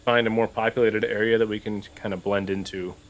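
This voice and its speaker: A man with a somewhat low voice takes part in a tabletop game as a player. He talks casually and tentatively, thinking his choices through out loud. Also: flat delivery, brisk, low-quality recording.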